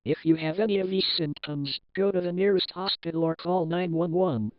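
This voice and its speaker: A formal, impersonal announcer reads a scripted emergency alert in a medium-pitched voice. The sound is dry and a little dull, like lo-fi broadcast audio. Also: normal speed, clean tone, no room sound.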